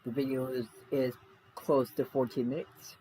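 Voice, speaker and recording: A man hosting a casual livestream, speaking in a halting, repetitive way. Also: medium pitch, flat delivery, mellow. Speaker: livestream reaction host. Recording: noisy home livestream audio.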